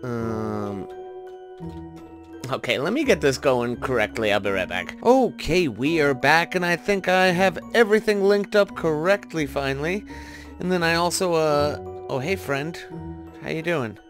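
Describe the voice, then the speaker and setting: A man's voice: a little high, lively intonation, a little slow. A man hosting a gaming video, giving casual commentary and often questioning himself as he thinks aloud. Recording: music behind the voice. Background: background music.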